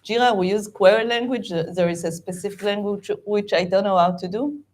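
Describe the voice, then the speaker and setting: A middle-aged woman with an Israeli accent, a native Hebrew speaker, giving a halting, animated talk with many fillers and restarts as a guest speaker at a meetup. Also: mid-range voice. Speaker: guest speaker, project management VP.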